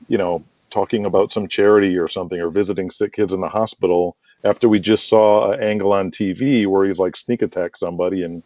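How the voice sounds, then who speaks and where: A man with a low, mellow voice talks through his opinions as an interview guest. He is emphatic and leans on examples to explain himself. The recording is dull and lo-fi. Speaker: interview guest, wrestling promoter and booker. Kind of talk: opinionated conversational interview talk. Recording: dull lo-fi, roomy echoing sound.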